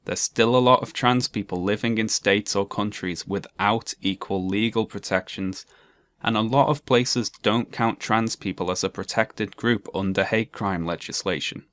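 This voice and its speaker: A young man with a monotone, dryly sarcastic delivery, narrating a commentary video essay. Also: fairly low pitch, normal speed.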